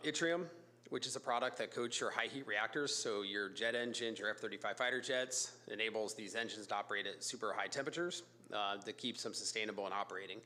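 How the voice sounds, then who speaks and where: A man with a raspy voice from a cold, a company executive making a casual, filler-heavy pitch to a city council. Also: bright, forceful tone, slight background noise. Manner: informal, fillers and restarts, brisk business pitch. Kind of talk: public comment at a council meeting. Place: council chamber.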